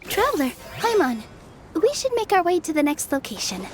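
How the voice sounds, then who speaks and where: A woman playing a gentle, kind young dancer in a video game, reassuring and soft-spoken. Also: very high-pitched, big pitch swings, normal speed, noisy background. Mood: polite, kind. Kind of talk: video game cutscene acting. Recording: music bed behind voice.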